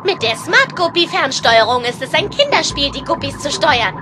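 A woman voicing a cheerful, confident animal inventor in a children's cartoon audio drama, brisk in manner. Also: high voice, very animated, music in the background.

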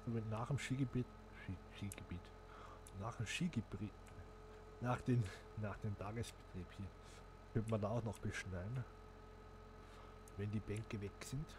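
Guy chatting thru a sim game, thinking out loud, bit of background hiss. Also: on the low side, unhurried, long pauses. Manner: chatty, thinks aloud while playing, addresses viewers. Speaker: gaming channel host. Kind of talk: casual gameplay commentary.

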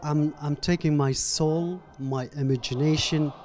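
A man, answering as a contestant in a humble, earnest way with many pauses. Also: fairly high pitch, fairly even intonation, darkish tone, a little hiss or hum. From Iraq. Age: 40s. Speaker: talent show contestant. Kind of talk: soft-spoken interview answers.

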